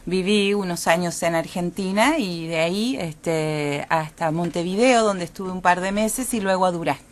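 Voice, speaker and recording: A woman from Chile answers radio interview questions as a guest poet. Her voice is on the low side, and she speaks calmly and thoughtfully, with a few fillers. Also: normal speed, few pauses, a little hiss or hum.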